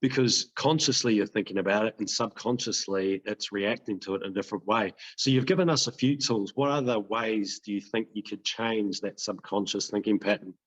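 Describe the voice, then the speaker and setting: A man's voice: fairly low pitch, fairly quick, hardly any pauses, poor audio. A man co-hosting a podcast interview, speaking in a casual, enthusiastic and rambling way. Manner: enthusiastic, rambling, with many "you know" fillers. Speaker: podcast co-host.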